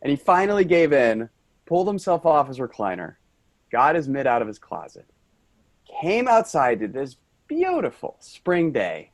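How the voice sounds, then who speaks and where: A man's voice: a little high, huge pitch swings, normal speed, no room sound. A man playing an earnest, rambling office worker, tipsy and brooding, in a staged play reading. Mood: wistful, tipsy.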